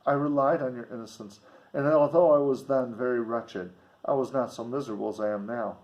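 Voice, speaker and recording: A man voicing an earnest, kind, grieving young gentlewoman from a gothic novel, with formal, pleading speech. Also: mid-range voice, fairly even intonation, brisk, close to an everyday voice, poor audio. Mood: sorrowful reproach.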